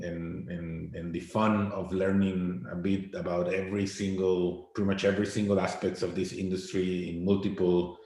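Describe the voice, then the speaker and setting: A man in his forties from Costa Rica, a guest answering interview questions in a halting way, often repeating his words. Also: deep voice, a little mellow. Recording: very echoey room.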